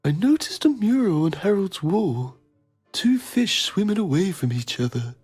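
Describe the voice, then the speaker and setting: Pompous documentary guy, husky, swooping all over the place, very theatrical game narration, faint music behind. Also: medium pitch, big pitch swings, moderate pace, a little mellow. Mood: pompous musing. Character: pretentious, self-admiring male documentary journalist. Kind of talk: melodramatic mock-documentary narration.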